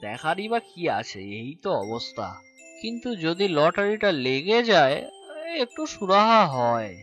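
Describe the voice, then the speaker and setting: A man's voice: a little high, big pitch swings, unhurried, fairly bright tone, faint music behind. A man playing a burdened, irritable young working son in a cartoon, with a sarcastic edge. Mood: weary. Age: young adult. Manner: exasperated, sarcastic. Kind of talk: cartoon voice acting.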